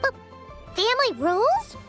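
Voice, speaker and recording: A woman's voice: extremely high pitch, very animated, normal speed, fairly bright tone, faint music behind, full character voice. A woman voicing a small, chatty, childlike female fairy companion in a video game, with an exclaiming manner. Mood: curious. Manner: exclaiming, refers to self in third person. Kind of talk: animated video game voice acting.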